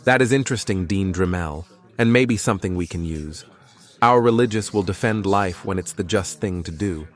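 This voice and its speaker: Man playing low-voiced, stubborn priest captain. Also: close to an everyday voice. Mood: firm, defiant. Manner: terse, firm, deliberate. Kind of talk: fan audio drama acting.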